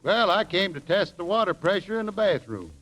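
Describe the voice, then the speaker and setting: A man's voice: high-pitched, sing-song, brisk, fairly bright tone, heavily acted. An actor playing a folksy, plainspoken and unruffled ex-army handyman in a vintage radio comedy. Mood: mild, puzzled. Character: folksy, easygoing ex-army mule driver and handyman. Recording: noisy vintage radio recording.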